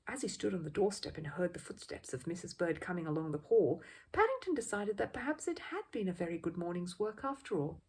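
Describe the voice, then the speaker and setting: A woman reading a children's story aloud as an expressive narrator. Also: mid-range voice, breathy edge. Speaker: audiobook reader.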